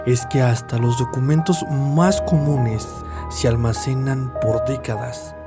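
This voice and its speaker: An actor playing a sly, gloating hospital nurse who blackmails with a smug, mocking manner in a dramatized audiobook. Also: male voice, medium pitch, fairly even intonation, slow pace, natural voice. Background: background music.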